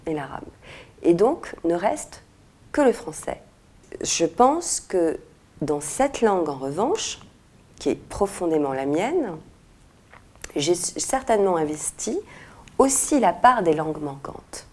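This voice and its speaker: Author in her forties, low mellow voice, searches for words, lapel mic. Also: very animated, takes it slowly, pauses often. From France and Morocco. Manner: thoughtful, many hesitations and restarts. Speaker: author interviewed. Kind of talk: reflective interview answers. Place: indoor room with red walls, seated in armchair.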